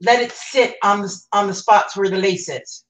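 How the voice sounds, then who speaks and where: A woman's voice: medium pitch, moderate pace, roomy sound, rough recording. A woman co-hosting, giving brief casual instructions. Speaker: co-host.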